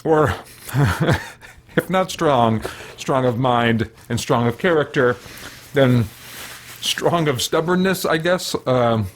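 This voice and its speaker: A man's voice: mid-range voice, takes it slowly. An American man talks as a gaming streamer in a casual, unscripted way. He rambles and thinks aloud, often stopping to correct his own phrasing. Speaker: live streamer, gaming host. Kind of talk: casual livestream chat.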